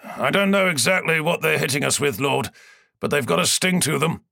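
A man's voice: on the high side, moderate pace, creaky, pushed and bright, no echo, clean studio sound, pitched above the performer's own voice. A man voicing a blunt, loyal male starship captain who reports grimly to his lord. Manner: grim, plain-spoken.

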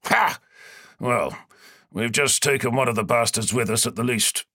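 A man doing a gruff starship captain. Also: mid-range voice, moderate pace, sharp tone, no room sound, studio quality. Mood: grim. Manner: grim, plain-spoken. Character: gruff loyal male starship captain, blunt.

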